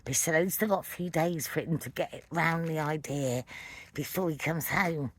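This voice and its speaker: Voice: medium pitch, normal speed, dry recording. A middle-aged person telling a casual, meandering personal story in a playful way, as a late-night fireside ramble. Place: outdoors at night beside a fire.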